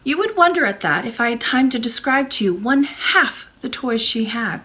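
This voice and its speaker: A woman reading a storybook aloud as a librarian host, in an expressive, measured storytelling manner. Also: fairly high pitch, slightly rough. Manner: expressive, measured storytelling with brief informal asides.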